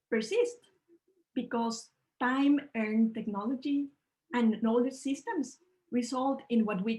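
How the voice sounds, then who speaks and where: A woman's voice: on the high side, low-quality recording. Earnest woman from Ecuador presenting. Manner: earnest, measured, slightly non-native phrasing. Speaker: panel speaker. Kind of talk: online presentation talk. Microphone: video call.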